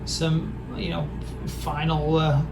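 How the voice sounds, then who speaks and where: A hoarse man with a raspy voice talks in a halting, hesitant way while showing off records he has collected. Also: on the high side, monotone, over music, low-quality recording. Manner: halting, hesitant, full of pauses. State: hoarse from a throat injury. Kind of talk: casual record haul show-and-tell.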